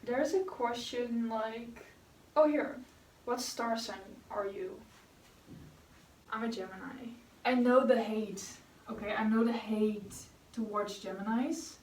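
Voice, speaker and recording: Young Dutch-accented woman, casual rambling vlogger chat, slightly high voice, distant mic in a roomy space. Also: takes it slowly, a little mellow. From Netherlands. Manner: chatty and rambling, with fillers and self-corrections. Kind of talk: casual chat while painting.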